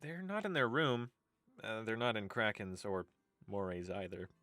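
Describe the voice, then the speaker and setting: A man's voice: on the low side, moderate pace, pushed and bright, no echo, faint music behind. An actor playing a wry, exasperated young man in an audio drama, with a theatrical, sarcastic delivery. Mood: puzzled.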